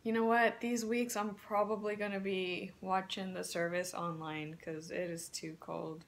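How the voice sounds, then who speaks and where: Slow-talking young woman, giggly and easygoing. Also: medium pitch, level tone. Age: young adult. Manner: easygoing, giggly, soft-spoken. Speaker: vlogger. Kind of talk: casual couple vlog chat. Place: home, then a parked car. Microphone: handheld phone camera.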